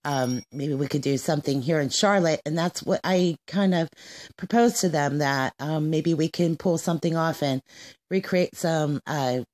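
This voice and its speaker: A woman's voice: low-pitched, moderate pace, a little mellow. A woman hosting a radio show in an informal, somewhat hesitant style, often searching for words.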